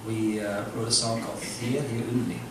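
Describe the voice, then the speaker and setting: A man giving an informal, halting lecture to an audience as a musician. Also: mid-range voice, flat delivery. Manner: halting, explanatory. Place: club room with seated audience, indoors. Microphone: stage mic through speakers, recorded from afar. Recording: echoey, noisy hall recording from far off.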